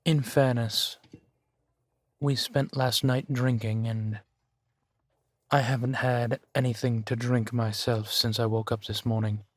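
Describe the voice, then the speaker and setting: Slow-spoken, courteous gentleman vampire, acted by a man. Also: mid-range voice, flat delivery, dry recording. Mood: apologetic, courteous. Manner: formal, polite, measured phrasing with soft sighs. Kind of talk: intimate roleplay audio drama.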